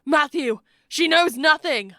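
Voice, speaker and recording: A woman's voice: sits high, expressive, slow, sharp tone, dry recording, studio quality, pitched above the performer's own voice, full character voice. A desperate young nobleman held prisoner, acted by a woman reader. The voice is raised and strained, shaking as it pleads urgently, in storybook style. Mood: desperate pleading. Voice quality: shaky, strained.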